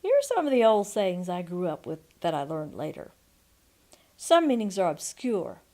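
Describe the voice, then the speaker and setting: A woman in her sixties reading a folksy memoir aloud as a storyteller, expressive and deliberate, with pauses. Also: fairly low pitch. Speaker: storyteller and audiobook narrator. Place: quiet room at home, indoors. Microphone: microphone on a stand before her, seated.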